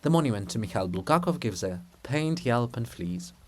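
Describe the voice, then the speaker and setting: A man's voice: medium pitch, lively intonation, moderate pace, a little mellow, a little hiss or hum. A man reading a drama aloud, narrating and giving casual asides. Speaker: reader.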